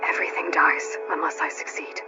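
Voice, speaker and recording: A woman's voice: low voice, steady tone, brisk, natural voice. A woman playing a determined, guarded young warrior hero in a video game, speaking in a terse, earnest way. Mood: earnest, subdued. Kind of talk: cinematic video game acting. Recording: dull lo-fi audio with music behind.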